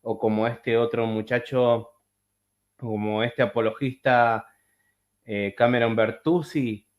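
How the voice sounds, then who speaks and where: A man talks through a video call, voice sitting at an ordinary middle pitch. He rambles in a casual, halting way, full of fillers and restarts. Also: steady tone. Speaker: podcast host. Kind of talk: casual chat.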